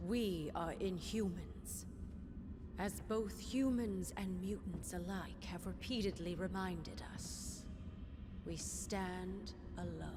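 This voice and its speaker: Regal, stern queen with slow delivery. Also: fairly low pitch, noisy background. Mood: stern, reproachful. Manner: formal, imperious. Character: regal, stern queen of a hidden superhuman people. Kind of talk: scripted video game acting.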